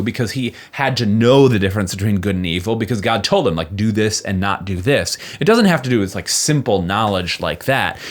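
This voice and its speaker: A man giving a casual explanatory talk as a presenter, conversational, often posing rhetorical questions and checking in with short tags. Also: medium pitch. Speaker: presenter of a Bible commentary channel.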